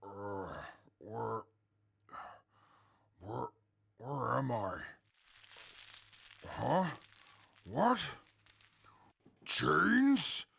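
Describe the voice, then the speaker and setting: Guy doing a gruff raspy captive in a wasteland radio play, slow halting talk that swings up and down, short-tempered. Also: medium pitch, sing-song, fairly bright tone, exaggerated voice. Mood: groggy, confused. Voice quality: very gravelly, raspy. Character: irritable male captive fighter, groggy, blunt and domineering. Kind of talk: post-apocalyptic audio drama acting.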